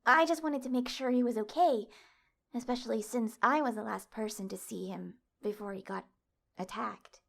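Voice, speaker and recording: A woman playing an anxious, earnest young woman in an audio drama, with a halting, flustered delivery. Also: on the high side, creaky. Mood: worried, guilty.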